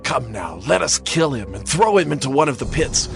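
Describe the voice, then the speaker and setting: A man's voice: mid-range voice, sing-song, moderate pace, creaky, faint music behind. A man playing a jealous, scheming brother in a dramatized scripture reading, theatrical and pointed. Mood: plotting. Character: jealous, scheming adult brother in ancient Canaan.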